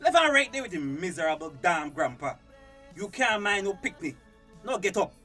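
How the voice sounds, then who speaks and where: A man's voice: high-pitched, sing-song, normal speed. An actor playing a harsh, impatient, menacing man in a radio drama, with a Belizean Creole accent. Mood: impatient, scornful. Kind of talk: radio drama acting in dialect. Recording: distant radio drama, faint music behind.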